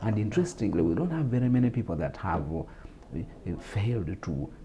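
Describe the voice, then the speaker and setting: Deep-voiced Ugandan man explaining. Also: sing-song, warm tone, slight background noise. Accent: Ugandan English. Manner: measured, explanatory, with repetitions and fillers. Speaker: guest speaker on religious talk show. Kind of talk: explanatory talk show discussion.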